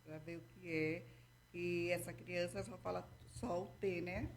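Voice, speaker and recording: A woman's voice: fairly low pitch, steady tone, a little slow, a little hiss or hum. Didactic Brazilian woman explaining patiently. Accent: Brazilian Portuguese. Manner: didactic, checks in with frequent "tá?" and "entendeu?". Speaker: guest therapist. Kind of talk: explanatory interview talk.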